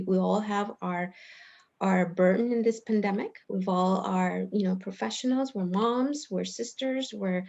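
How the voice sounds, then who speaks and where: A middle-aged woman from El Salvador introduces herself informally on a panel. She talks in a halting, earnest, rambling way at a medium pitch. The video call leaves her sounding dull and lo-fi. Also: a little mellow. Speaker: panelist, clinical psychologist and director.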